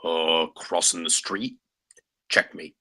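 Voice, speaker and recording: A man's voice: extremely low pitch, steady tone, slow pace, pushed and bright, no echo, heavily acted. A man reading the part of a wry, evasive campus security officer at a script table read.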